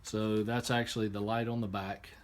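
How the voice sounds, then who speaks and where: A man giving a casual, unscripted hands-on product demonstration, explaining step by step in a halting way. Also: fairly low pitch, little pitch movement. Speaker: product reviewer. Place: indoor workshop. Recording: clear background noise, room ambience.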